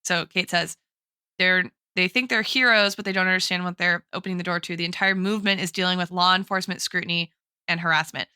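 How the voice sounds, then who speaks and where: A woman's voice: lowish voice, fairly even intonation, fast, sharp tone, clear, resonant tone, dry recording, studio quality. A woman co-hosting a podcast, talking through arguments in an academic way, with frequent "like" and "right" as she goes. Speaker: podcast co-host. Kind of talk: analytical discussion.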